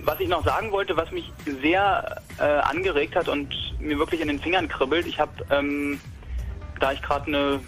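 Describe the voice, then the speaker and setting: A man's voice: a little high, fairly bright tone. A young man from Berlin talking casually about a hobby robot project, rambling with many fillers and restarts. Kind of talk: casual technical talk.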